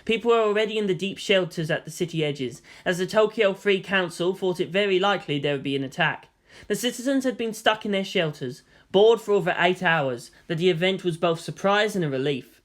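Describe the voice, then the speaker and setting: An amateur audiobook narrator reading a fan story in a steady, mid-pitched voice. The pacing is even and unhurried, and dialogue gets voiced in character. The tone is plain and matter-of-fact. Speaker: fan fiction reader.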